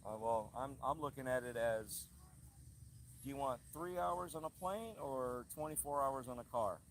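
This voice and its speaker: Hoarse man chatting on a live stream. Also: mid-range voice, moderate pace, a little hiss or hum. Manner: rambling, chatty, reads out viewer comments. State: hoarse from a cold. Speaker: channel host. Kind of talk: casual live stream chat. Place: outdoors at a haunted trail attraction. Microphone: handheld camera rig.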